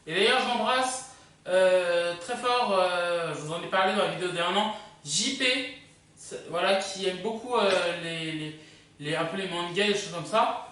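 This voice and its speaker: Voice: mid-range voice, measured pace, pushed and bright. A video host presenting a countdown casually and unscripted, rambling and hesitant, often drifting into asides. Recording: echoey room, distant microphone.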